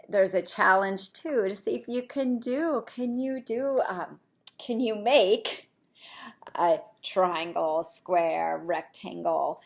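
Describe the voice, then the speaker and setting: Slow-paced woman teaching preschoolers. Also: lowish voice, creaky. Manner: encouraging, simple step-by-step explaining with questions to children. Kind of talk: read-aloud and shape activity lesson for young children.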